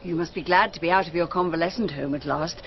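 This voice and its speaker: An actress playing a cool, poised upper-class English wife in a radio drama. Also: female voice, lowish voice, fast talker, muffled recording, lightly acted.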